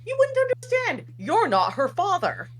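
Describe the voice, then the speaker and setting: A woman's voice: extremely high pitch, wildly swooping pitch, normal speed, a little hiss or hum, heavily acted. A woman voicing a snobbish, irritable king and disapproving father in a comedic table read, haughty and scornful. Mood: outraged. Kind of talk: comedic table read of animated film script.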